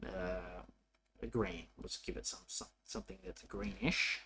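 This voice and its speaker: A man presenting a programming tutorial in a casual, unscripted way, haltingly thinking aloud as he works. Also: low-pitched, very animated, vocal fry, pushed and bright, a little hiss or hum. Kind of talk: casual coding walkthrough, unscripted.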